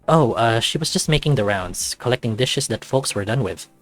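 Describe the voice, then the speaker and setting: A man's voice: medium pitch, animated, normal speed, fairly bright tone, faint music behind, lightly acted. Man playing a bashful, flustered young-hearted animal guy. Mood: awkward. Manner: hesitant, easily embarrassed. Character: flustered, bashful male animal narrator with bushy tail. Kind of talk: visual novel character read aloud.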